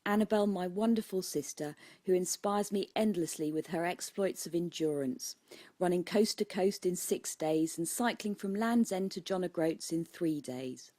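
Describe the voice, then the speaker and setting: Mellow British woman reading an audiobook. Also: lowish voice, moderate pace, no echo. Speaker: author reading own audiobook. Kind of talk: scripted audiobook narration.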